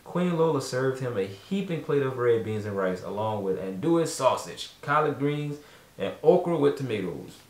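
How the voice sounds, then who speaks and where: A man's voice: mid-range voice, animated, normal speed. A man narrating a children's storybook as a father reading aloud at home, away from the microphone. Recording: echoey room, distant mic.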